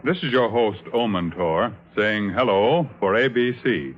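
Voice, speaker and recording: A man's voice: fairly low pitch, animated, measured pace. A man hosting a 1950s radio show in scripted narration. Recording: dull 1950s radio recording, loud music. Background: loud music.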